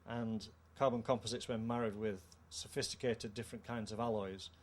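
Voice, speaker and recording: A middle-aged man with a British accent gives a measured, formal technical explanation of a product. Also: fairly low pitch, fairly even intonation. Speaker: company co-founder presenting a product. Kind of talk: technical product presentation in an interview. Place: indoor trade show room. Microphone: handheld microphone. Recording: clear background noise, show-room ambience.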